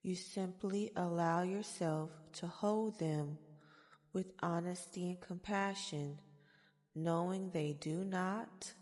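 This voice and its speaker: Slow, soft-spoken woman in long reverb. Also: fairly low pitch, steady tone. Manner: soothing, gentle, deliberate phrasing. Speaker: guided meditation host. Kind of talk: soft-spoken ASMR guided meditation narration.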